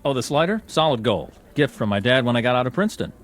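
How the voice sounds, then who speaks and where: An actor playing a glib, shallow, boastful society dandy with an affected, talkative manner in a radio drama. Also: male voice, medium pitch, lively intonation, fast talker, faint music behind, close to an everyday voice. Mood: boastful, chatty. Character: glib, shallow, boastful upper-class dandy club bore.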